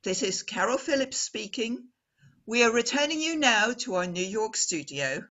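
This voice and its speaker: A woman plays an earnest female radio reporter with a bright, projected voice that swings widely in pitch. She is poised and formal, stressing her words deliberately in the style of an old-time radio drama. The sound is slightly dull and lo-fi. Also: expressive. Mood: formal, urgent.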